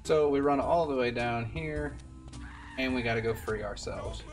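A young male streamer talks casually through a game speedrun. His voice sits at a middle pitch, with game music clearly playing behind it. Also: normal speed. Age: young adult.

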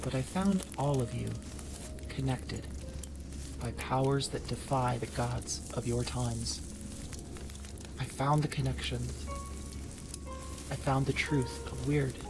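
A woman playing a stern, defiant ancient Norse seeress in an audio drama, speaking in solemn, measured, formal declarations. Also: very deep voice, fairly even intonation, background noise, heavily acted. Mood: grave, defiant.

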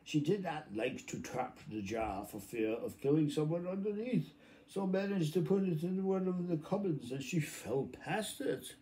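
A middle-aged man reads a children's classic aloud in a mellow, relaxed tone. His delivery is informal and halting, as he stumbles on phrases and adds little muttered asides. It is recorded on a phone in a room at home. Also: on the high side, low-quality recording. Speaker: amateur storybook reader. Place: small room at home, indoors.

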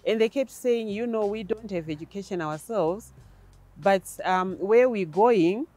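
A woman's voice: medium pitch, a little slow, darkish tone, soft background music. A middle-aged woman with a Zimbabwean accent, an interview guest telling her personal story outdoors on a lapel mic. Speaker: interview guest, country representative. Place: garden, outdoors.

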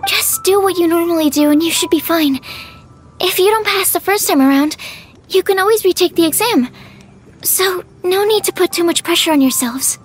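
An extremely high, girlish-pitched young female alchemist from a video game. She is polite, bookish and earnest, explaining in a careful, precise way. Music plays behind her voice. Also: normal speed. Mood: encouraging. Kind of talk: video game dialogue acting.

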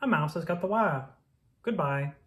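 Woman acting a storybook creature calling down a telephone, animated. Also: low voice, normal speed. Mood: dismayed. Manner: calling out, exclaiming.

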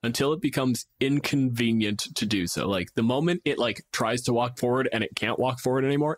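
A man's voice: mid-range voice, no echo. A man co-hosting a casual, unscripted chat about tabletop games, swearing now and then and playing out hypotheticals. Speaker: podcast co-host.

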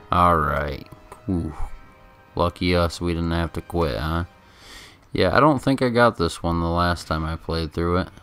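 A man giving casual, laid-back video game commentary in a halting, chatty way, close to the microphone. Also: low voice, a bit bright. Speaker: gameplay commentator. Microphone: microphone held very close. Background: game music.

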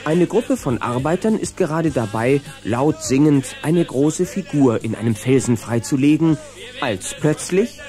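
A man's voice: on the high side, expressive, measured pace, over music. A man narrating a scripted children's audio drama.

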